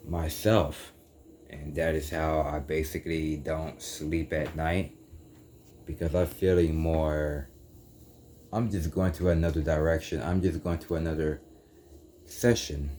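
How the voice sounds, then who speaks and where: A young man with a deep voice, talking in a meandering, casual way and correcting himself as he goes through a spiritual monologue for his channel. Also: dark tone, slight background noise. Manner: meandering, self-correcting, casual slang like "dude". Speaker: YouTuber.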